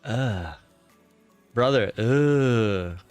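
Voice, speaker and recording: A man's voice: mid-range voice, lively intonation, very slow, a little mellow, clear, resonant tone, soft background music. A man in his late thirties chatting casually on a live stream, rambling with many fillers and reading out viewer comments. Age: 30s. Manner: rambling, with frequent "uh" fillers and restarts.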